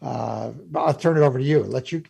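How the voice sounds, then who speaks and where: Male radio host, gravelly husky voice, chatty interview style. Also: mid-range voice, brisk, mellow. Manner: conversational, some restarts.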